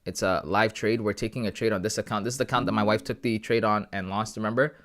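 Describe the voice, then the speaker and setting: A man's voice: on the low side, steady tone, fast talker, a bit bright. A man in his thirties chatting casually with viewers on a live trading stream, slangy and laughing often. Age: 30s. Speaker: livestreaming forex trader.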